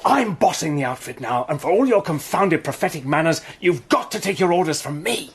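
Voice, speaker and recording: A man playing a brisk, commanding British officer in a radio drama, clipped and decisive. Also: fairly high pitch, animated, slight background noise.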